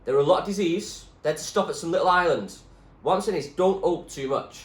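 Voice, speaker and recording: A man's voice: on the high side, fast talker, pitched above the performer's own voice, natural voice. Man reading as a firm, frank male doctor, echoey room. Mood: cautioning. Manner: emphatic, explanatory, cautioning. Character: firm, frank male doctor breaking bad news gently. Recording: echoey room with clear background noise.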